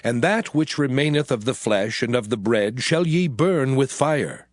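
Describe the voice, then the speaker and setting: An actor playing Moses, a stern aged lawgiver giving solemn commands in a dramatized scripture reading. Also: male voice, medium pitch, lively intonation, unhurried, no room sound, clean studio sound, natural voice.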